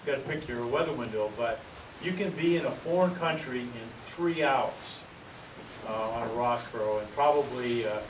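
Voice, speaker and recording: A man's voice: mid-range voice, moderate pace. Man chatting at an outdoor talk, far off. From Maine. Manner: chatty, many fillers. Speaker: presenter. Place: outdoor pavilion among palm trees. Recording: roomy, distant, noisy outdoor sound.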